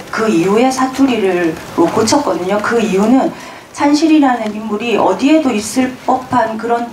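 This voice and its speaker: A woman from the Gyeongsang region, a film director, reflectively explaining her choices to the press. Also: mid-range voice. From Gyeongsang region, South Korea. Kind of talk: answering press questions. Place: press conference hall. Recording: echoey hall, distant mic, noisy room.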